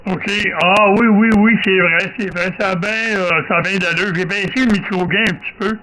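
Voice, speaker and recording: A man's voice: sits high, fairly even intonation, moderate pace, sharp tone, rough recording. A man with a Québécois accent, chatting casually as an amateur radio operator and repeating short affirmations. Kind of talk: casual radio contact chat. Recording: faint radio hiss and ambience.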